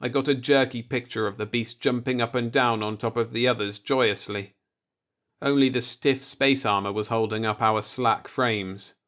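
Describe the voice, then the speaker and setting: A man's voice: medium pitch, steady tone, moderate pace, a bit bright. A man reading a short story aloud as a scripted audiobook narration.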